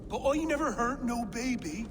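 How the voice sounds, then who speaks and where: An actress playing a simple, gentle wild hermit who befriends animals in a video game, with rustic, halting speech. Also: female voice, a little high, expressive, natural voice. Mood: wary, wounded. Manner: rustic, plain-spoken, halting. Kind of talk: video game cutscene acting. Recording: echoing space, clear background noise.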